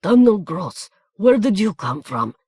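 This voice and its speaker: A man's voice: high-pitched, big pitch swings, a little slow, a little mellow, slightly rough, dry recording, pitched above the performer's own voice, full character voice. Man plays a talkative, nervous little man with a Hungarian accent; urgent whispers, dry booth. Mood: anxious whisper.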